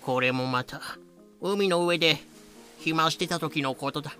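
A man playing a rough, lively old-hand pirate telling tales with slangy, dramatic delivery in a fan-made voice drama. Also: fairly high pitch, measured pace, faint music behind. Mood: grim storytelling. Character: rough lame ex-pirate storyteller, boisterous, lively.

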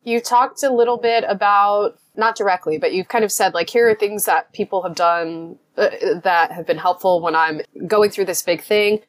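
A woman's voice: medium pitch, steady tone, normal speed, few pauses. A woman hosting a podcast interview, asking thoughtful questions with frequent fillers. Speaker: podcast host.

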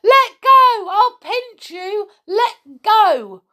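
High, shrill, tearful little girl. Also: slow, full character voice. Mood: shrieking alarm. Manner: tearful, whiny, shrill outbursts. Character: frightened, sulky, shrieking little girl on a ladder.

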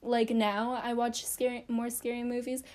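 A woman's voice: fairly high pitch, monotone, normal speed, darkish tone. A young woman chatting casually to camera about her childhood, rambling and self-deprecating with lots of fillers. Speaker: vlogger.

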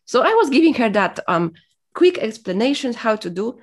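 A woman's voice: fairly high pitch, very animated, moderate pace. A woman whose first language is Polish, speaking as an interview guest in a reflective, motivational way, hesitant and full of fillers as she searches for words.

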